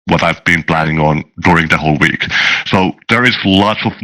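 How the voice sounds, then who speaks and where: Deep, bright-voiced man answering hesitantly. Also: normal speed, low-quality recording. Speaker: guest, tabletop game master. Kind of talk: casual interview answers.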